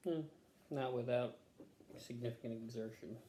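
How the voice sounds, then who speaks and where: A man's voice: medium pitch, normal speed, warm tone, a little hiss or hum. A man answering health questions as a patient, in terse, casual, offhand replies.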